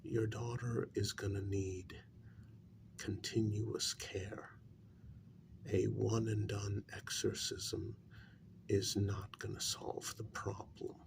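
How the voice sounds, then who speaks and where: A man in a soft-spoken ASMR role-play as a calm, grave psychologist, talking in a measured, halting, breathy half-whisper with gravel in it. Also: fairly low pitch, noisy background. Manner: deliberate, measured, halting phrases. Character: calm, grave male psychologist and spiritualist counselling a parent.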